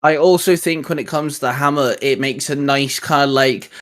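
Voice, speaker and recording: A man's voice: medium pitch, moderate pace, fairly bright tone. A man co-hosting a casual, geeky discussion in a conversational way. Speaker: co-host.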